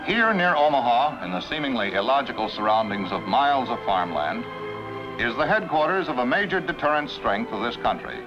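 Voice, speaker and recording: An American man giving formal, solemn, measured scripted narration in the manner of a 1960s military documentary. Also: medium pitch, muffled recording.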